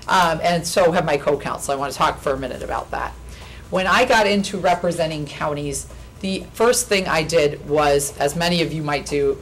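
A woman with a somewhat low, naturally loud voice delivers a formal pitch as an attorney. She speaks earnestly and persuasively into a podium microphone. A faint hum of the meeting room sits behind her. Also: poor audio. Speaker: attorney presenting to county commissioners. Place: county commission meeting room.

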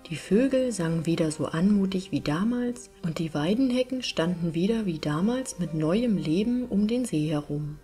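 A woman's voice: on the low side, animated, normal speed, hardly any pauses. A woman reading a fairy tale aloud as scripted audiobook narration. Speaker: storyteller, audiobook reader. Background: soft music.

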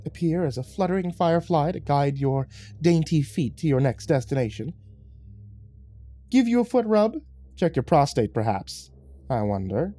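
A man's voice: on the high side, animated, moderate pace, mellow, voice pushed up. A man voicing a mocking, sadistic devil in the shape of a cat, theatrical and taunting, in an audiobook story.

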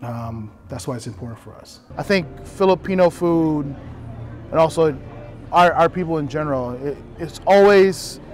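A man's voice: on the high side, expressive, moderate pace. A young man from Houston whose first language is Tagalog, hosting a documentary and chatting in a friendly, agreeing way. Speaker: documentary host. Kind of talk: casual conversation.

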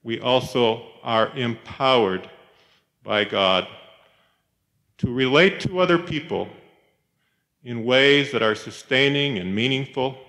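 A man's voice: medium pitch, expressive, takes it slowly, long pauses, bright, forceful tone. Man giving a chapel talk in reverberant hall. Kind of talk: reflective sermon-like chapel talk. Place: large chapel, speaking to a congregation. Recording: reverberant chapel hall, 1990s cassette tape.

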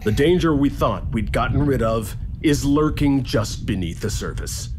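A man playing a cautious, awkward male TV reporter in an animated film, halting and probing. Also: medium pitch, background noise, close to an everyday voice. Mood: grave.

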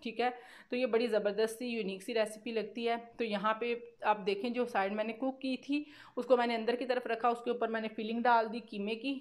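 A woman's voice: a little high, steady tone, brisk. A woman with a Pakistani accent giving a casual, conversational cooking voice-over, explaining steps with repeated filler phrases. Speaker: cooking vlogger.

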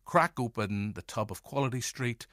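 A man with a Northern Irish accent hosting a podcast, introducing it in playful, deliberate asides to the listener. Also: mid-range voice, lively intonation, fairly bright tone. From Northern Ireland. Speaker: podcast host. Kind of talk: scripted-sounding podcast introduction.

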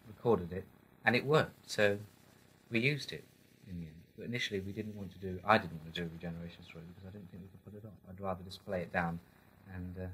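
A man's voice: deep voice, sharp tone, breathy edge, rough recording. A man answering interview questions casually, as a producer discussing his work. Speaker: audio drama producer. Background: faint music.

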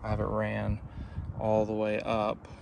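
A man talks through a DIY job in an easygoing, informal way. His voice sits fairly low and often drops into a gravelly creak. It is captured on a handheld phone inside a vehicle, with clearly audible noise around it. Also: completely flat delivery, measured pace. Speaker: DIY video presenter. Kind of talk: casual hands-on walkthrough. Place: inside a parked pickup truck. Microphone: handheld phone camera.

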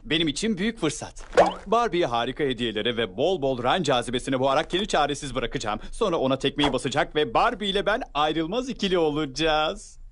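A man playing a vain, scheming young rival suitor in an animated cartoon dub, with a smug, theatrical delivery. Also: fairly high pitch, a little fast, lightly acted.

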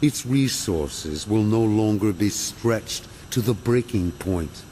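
An actor playing a grandiose, ancient villain mastermind in a video game, speaking in pompous, measured, formal oratory. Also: male voice, fairly low pitch, dark tone, slight background noise. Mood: visionary fervor. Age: older.

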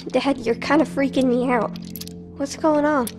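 A woman voices a young girl in a cinematic video game. She is anxious and questioning, with a high, youthful pitch over a clear dramatic score. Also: fairly even intonation, normal speed, over music, natural voice. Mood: frightened. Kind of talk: naturalistic dramatic game acting.

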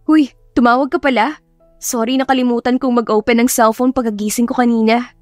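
A young Filipina career woman played by a female narrator in an audio romance, casual and wry. Her voice sits fairly high, recorded dry over music. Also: steady tone, fairly quick, no echo, lightly acted. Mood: casual, teasing. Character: good-humoured young professional woman, romance heroine. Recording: music behind the voice.

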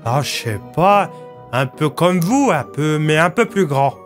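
A man's voice: on the high side, big pitch swings, measured pace, soft background music, pitched above the performer's own voice. A man voicing a naive, dim-witted, chatty police sergeant in an audio story, hesitant and guileless. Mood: hesitant, earnest.

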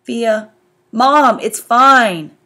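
A woman voicing a headstrong fifteen-year-old girl, raised and bright in tone. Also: on the high side, very slow, voice pushed up, exaggerated voice.

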